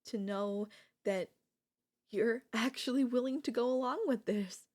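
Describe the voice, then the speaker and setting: A woman's voice: a little high, a little slow, darkish tone, lightly acted. A woman playing an obsessive, lovestruck and gleefully sinister older sister in an ASMR audio roleplay. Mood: smitten, coaxing.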